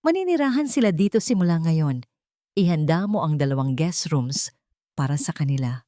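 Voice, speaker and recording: A woman's voice: low voice, expressive, moderate pace, mellow, no echo, clean studio sound. A woman voicing a formal, authoritative wealthy sheikh in a story. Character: formal, commanding wealthy sheikh, adult man.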